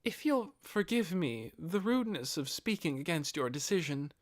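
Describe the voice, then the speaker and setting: A man's voice: on the high side, sing-song, normal speed, voice pushed up. A man voicing a devoted, earnest female guardian captain in an audiobook, with polite, deferential phrasing. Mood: apologetic, worried. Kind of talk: audiobook character acting.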